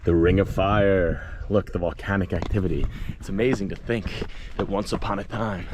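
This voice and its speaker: A man with a low-pitched voice hosts a casual walking vlog. He speaks like a playful, theatrical storyteller who leans on dramatic pauses. The recording is made outdoors, with some light noise around the voice. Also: fairly even intonation. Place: outdoors, walking in a hilly lakeside village and vineyards.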